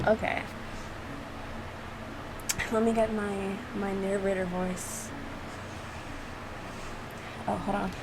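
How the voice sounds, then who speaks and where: Female reaction YouTuber, mid pitch, unhurried casual rambling, playful and self-deprecating, clearly noisy recording. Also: little pitch movement. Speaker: YouTuber reacting to own visual novel.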